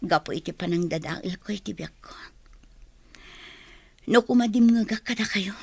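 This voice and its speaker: A woman's voice: mid-range voice, normal speed, creaky, darkish tone, slightly rough, no echo. A woman with an Ilocano Filipino accent playing a wronged, sorrowful mother in an emotional radio drama. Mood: hurt, sorrowful. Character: wronged, sorrowful mother newly freed from prison.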